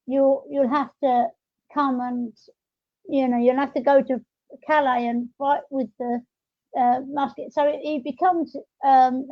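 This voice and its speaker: A woman hosting a podcast, chatting casually and explaining things in a rambling, halting way. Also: high-pitched, no echo. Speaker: podcast host. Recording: dull, lo-fi audio.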